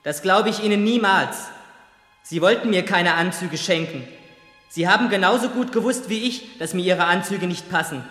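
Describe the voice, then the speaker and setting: A man's voice: sits high, moderate pace, bright, forceful tone. A man acting in a 1970s radio play as an unforgiving young son who confronts his father with insistent, formal questioning. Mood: bitter, accusing. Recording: reverberant hall, music behind.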